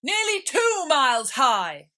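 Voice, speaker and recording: A woman voicing an imperious, bullying storybook queen with loud, domineering, drawn-out declarations. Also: sits high, very animated, pitched above the performer's own voice, heavily acted.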